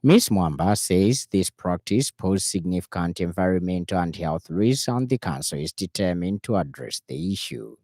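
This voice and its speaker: A male radio news reader with a Zambian accent delivers a formal, measured bulletin. His voice is low and mellow. The sound is dry and close, with hardly any room to it. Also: animated, no echo.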